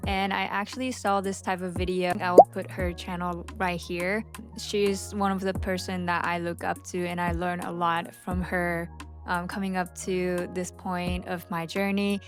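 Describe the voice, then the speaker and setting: A woman's voice: lowish voice, little pitch movement, measured pace, over music. A woman who speaks Japanese as her first language, chatting candidly and unscripted to camera like a vlogger, sometimes stumbling over her words. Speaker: vlogger and social media marketing agency owner. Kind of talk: unscripted casual chat to camera.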